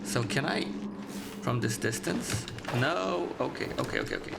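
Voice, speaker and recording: A man giving casual gameplay commentary, low-key and thinking aloud as he plays. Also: lively intonation, normal speed, gravelly. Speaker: gamer, video creator. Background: game music.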